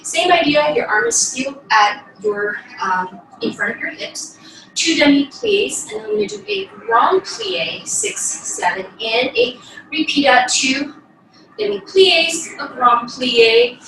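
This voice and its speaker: Woman, young adult, ballet instructor, lively intonation, step-by-step guidance, very echoey small room. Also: very high-pitched, takes it slowly, vocal fry. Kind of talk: instructional class talk with demonstration. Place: small bedroom, indoors. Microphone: far camera across the room. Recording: echoey room, distant mic, faint noise.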